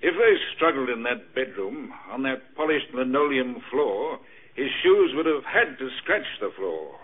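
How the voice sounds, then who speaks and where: A tinny old radio recording carries a dutiful police sergeant type. His voice is rough and husky, mid-pitched, reporting facts in crime drama style. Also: very animated, a little slow. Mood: dutiful, factual. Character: dutiful male police assistant reporting to inspector. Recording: thin band-limited old radio recording.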